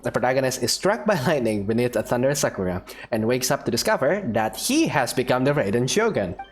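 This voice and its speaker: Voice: mid-range voice, slight background noise. A game streamer reading game text aloud expressively, with casual commentary in between. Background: faint music.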